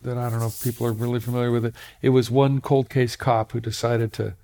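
A man's voice: medium pitch, little pitch movement, quick pace, warm tone. A man hosting an interview, asking curious follow-up questions in short prompts. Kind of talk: casual interview.

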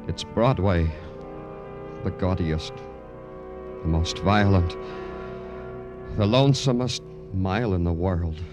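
An actor playing a brooding, hard-boiled police detective in a 1950s American radio noir, speaking gravely and deliberately. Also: male voice, low voice. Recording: vintage radio recording with music behind.

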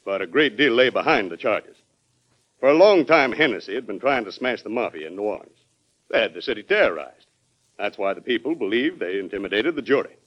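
An actor playing a defensive, deferential state governor in a classic radio drama, shifting between hesitant deference and emphatic self-justification. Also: male voice, lowish voice, lively intonation, fairly quick. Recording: dull old radio broadcast recording.

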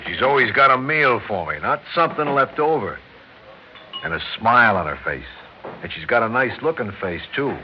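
An actor playing a tired, devoted working-class young husband in an American radio drama, plain-spoken and stammering when pressed, with an American accent. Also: male voice, medium pitch, animated, fairly quick, lightly acted. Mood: fond, proud. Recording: muffled, noisy old radio recording.

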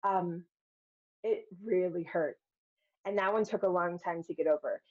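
A woman's voice: on the low side, a little slow, a little mellow. A middle-aged woman telling personal stories candidly in a podcast conversation, acting out quotes of herself and others as she goes. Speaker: podcast guest, filmmaker and speaker. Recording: dull, lo-fi sound.

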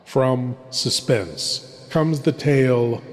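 A man's voice: medium pitch, drawn-out words. A man hosting a horror show, giving an ominous, theatrical scripted introduction with dramatic weight. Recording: long cavernous reverb, music behind.

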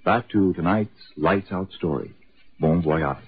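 A man narrating as host of a 1940s American radio horror series, measured, formal and ominous. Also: low voice. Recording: muffled, slightly noisy 1940s radio broadcast.

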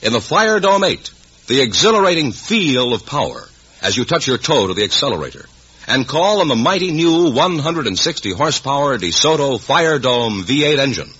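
Man, American, vintage radio announcer, animated pitch, emphatic sales delivery, muffled old recording. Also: moderate pace, breathy edge. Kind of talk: scripted 1950s radio announcing and advertising.

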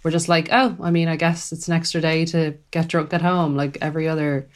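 A woman's voice: low voice, fairly even intonation, a little fast. A young woman co-hosting a podcast, stuffy with a cold, bubbly and laughing as she chats. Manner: bubbly, laughing, filler-heavy. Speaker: podcast co-host. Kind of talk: casual chat.

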